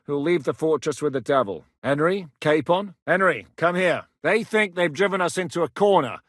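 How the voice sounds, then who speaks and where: A battle-hardened warlord commander with a bright, forceful, fairly high voice. He talks bluntly and with authority, the way a leader gives orders to his men. The recording is dry and close, with no room sound. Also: lively intonation, normal speed, dry recording. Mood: commanding. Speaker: military commander character. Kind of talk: video game voice lines.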